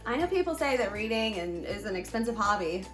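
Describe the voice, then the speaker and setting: A woman's voice: mid-range voice, very animated, fairly quick, reverberant, faint music behind. A young American woman co-hosting a book show in casual, enthusiastic chat with a playful, joking, slangy manner.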